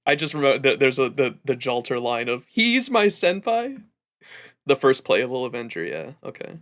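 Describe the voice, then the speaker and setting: Bright-voiced man hosting a stream. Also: mid-range voice, a little fast. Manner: animated, sweary, rambling. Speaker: livestream host. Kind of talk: casual gaming stream commentary.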